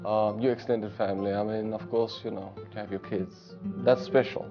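A man's voice: on the low side, steady tone, normal speed, dull audio, soft background music. A man in his forties with a South African accent, Afrikaans his first language, reflecting in an interview with many fillers. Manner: reflective, warm storytelling with frequent fillers. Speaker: diplomat. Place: living room, indoors. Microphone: lapel.

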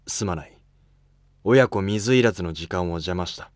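A man plays a retired emperor, shrewd, composed and commanding. His voice is low and very dry, delivered with calm authority in historical drama style. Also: moderate pace, no room sound, natural voice. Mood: considerate. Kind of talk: amateur historical drama dialogue.

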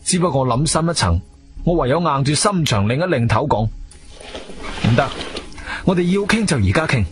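Animated Cantonese actor as adventurer hero, music behind. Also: male voice, medium pitch, normal speed, lightly acted. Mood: firm, demanding. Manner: expressive, emphatic storytelling. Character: male adventurer hero, shrewd, firm, questioning investigator. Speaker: narrator and lead actor. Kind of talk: dramatic first-person audio drama narration. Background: background music.

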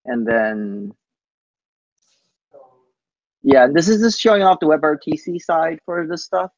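Man, slightly high voice, halting informal tech demo, software developer, dull dry video-call sound. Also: expressive, dry recording. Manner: halting, informal, often tags sentences with "basically". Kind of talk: casual technical demo on a team call. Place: coworking space, on a group video call. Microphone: video call microphone. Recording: muffled video call audio.

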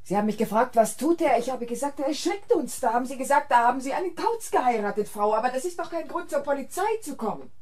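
An actress playing a distraught, panicked wife in a radio thriller, halting and repeating herself. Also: female voice, sits high, animated, darkish tone. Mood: numb, insistent. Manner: halting, repetitive, agitated. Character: distraught, panicked wife who killed her tormenting husband. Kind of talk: German radio thriller acting.

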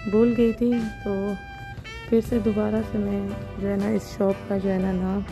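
Woman vlogger, mid-pitched, enthusiastic product chatter, phone-recorded in a mall, music bed. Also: creaky. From Pakistan. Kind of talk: casual shopping vlog narration. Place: shoe shop in a large indoor mall. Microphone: handheld phone camera.

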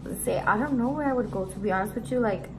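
A woman's voice: on the high side, quick pace, noisy background. An eighteen-year-old woman from Arizona, co-hosting a casual Q&A vlog at home with a friend, slangy and playful. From Arizona, USA. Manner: playful, slangy, laughs often. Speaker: co-host of a YouTube channel. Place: living room at home. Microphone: camera in front of two seated girls.

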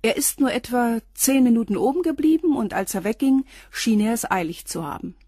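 An actress playing a reserved concierge around forty in a radio drama, giving short, matter-of-fact answers. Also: female voice, on the high side, a little fast, mellow, slightly rough, no echo, clean studio sound. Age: 40s.

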